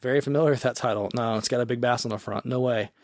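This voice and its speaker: A man co-hosting a casual podcast, talking in a relaxed, rambling way with some swearing. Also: mid-range voice, racing, no room sound, studio quality. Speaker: podcast co-host. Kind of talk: casual chat.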